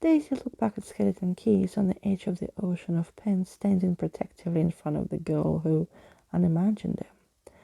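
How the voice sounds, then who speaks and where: A woman reading a storybook as soft ASMR narration, hushed, breathy and often whispering, close to the microphone. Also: lowish voice, moderate pace, dry recording, poor audio. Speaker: ASMR reader.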